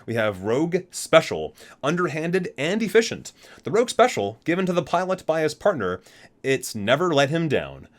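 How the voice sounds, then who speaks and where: Man with lively pitch doing ASMR unboxing. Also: normal speed. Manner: rambling, self-correcting, marvels at details. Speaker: video host, gaming fan. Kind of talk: ASMR unboxing with casual commentary and reading aloud.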